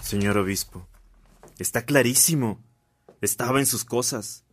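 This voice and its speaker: An actor playing a spiteful, insistent priest and sacristan in a radio drama. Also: male voice, mid-range voice, a little slow, slightly rough. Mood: accusing. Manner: insistent, accusatory. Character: spiteful, insinuating male priest and cathedral sacristan.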